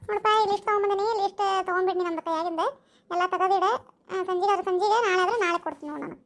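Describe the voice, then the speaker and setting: A woman's voice: very high-pitched, steady tone, very fast, fairly bright tone. A woman voicing a bossy, chatty village mother in an amateur cartoon, nagging and homely, with a North Karnataka accent. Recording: faintly noisy home recording.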